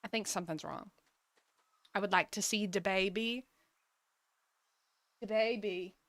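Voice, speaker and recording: A woman's voice: medium pitch, fairly even intonation, measured pace, fairly bright tone, no room sound. A woman doing casual live gaming commentary, chatting and thinking aloud as she plays. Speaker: game streamer.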